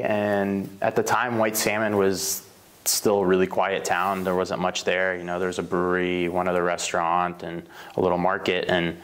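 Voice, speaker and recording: A man from Slovenia who owns a bakery, telling his life story in a casual interview, rambling with fillers and self-corrections. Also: low-pitched. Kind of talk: casual oral history interview.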